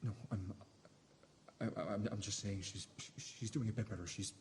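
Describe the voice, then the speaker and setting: An actor playing a timid, conflicted accomplice who stammers and defends himself hesitantly in a radio play. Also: male voice, fairly low pitch, fairly even intonation, normal speed, darkish tone, a little hiss or hum, natural voice. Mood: cowed, hesitant. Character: timid, wavering reluctant accomplice, Mark, cowed and conflicted.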